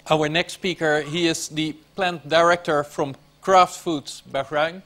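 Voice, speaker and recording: Man moderator, fairly high voice, searching for words as he introduces a speaker. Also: steady tone, pushed and bright. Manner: careful, halting, searches for words. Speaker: conference moderator.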